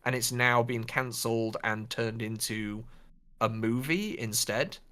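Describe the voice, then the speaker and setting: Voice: mid-range voice, a little slow, pushed and bright. A podcast co-host chatting casually in a playful, rambling way. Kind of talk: casual chat.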